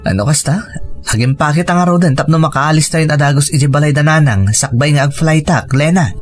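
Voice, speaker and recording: A man's voice: a little high, fairly quick, close to an everyday voice. A man playing an earnest young husband in a scripted audio drama, persuasive and determined to provide for his family. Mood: reassuring, determined. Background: faint music.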